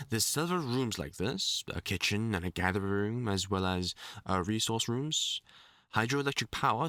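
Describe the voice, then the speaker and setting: A man's voice: medium pitch, sharp tone, dry recording, studio quality, exaggerated voice. A man with a British accent playing a courteous magician in an audio drama, explaining things formally and apologetically with some hesitation. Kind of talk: character audio drama monologue.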